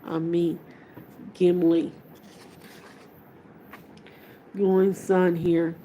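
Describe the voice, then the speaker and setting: A woman's voice: lowish voice, flat delivery, slow pace, dark tone, slight background noise. A woman voicing a courteous, loyal male elf in a storybook, with formal, earnest phrasing.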